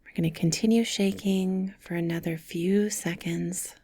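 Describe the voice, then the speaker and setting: Slow, hushed woman guiding meditation. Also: fairly low pitch, fairly even intonation. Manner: soft, breathy, gentle and soothing, often near a whisper. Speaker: guided meditation guide. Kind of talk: guided meditation, gentle instruction.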